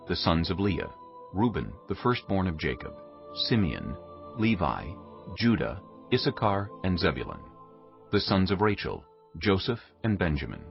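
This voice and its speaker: A man with a deep, very expressive voice narrates scripture solemnly, as a storyteller. Music plays behind him, and the recording is slightly dull. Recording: muffled audio under music.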